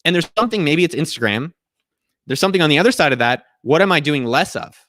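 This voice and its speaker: A man in his thirties hosting a casual live Q&A from a small room, giving a profane, energetic pep talk to his viewers. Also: on the high side, fast talker, no echo, clean studio sound. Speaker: host answering viewer questions. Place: small indoor room at home.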